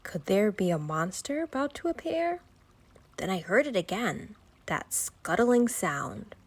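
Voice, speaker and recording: Slow, expressive woman storyteller. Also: medium pitch. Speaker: children's story reader. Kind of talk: expressive bedtime read-aloud in rhyme.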